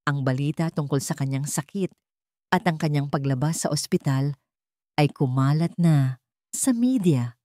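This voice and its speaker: A woman's voice: low-pitched, normal speed, long pauses, dark tone, no echo, studio quality. A woman reading a dramatic scripted romance story. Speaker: story narrator.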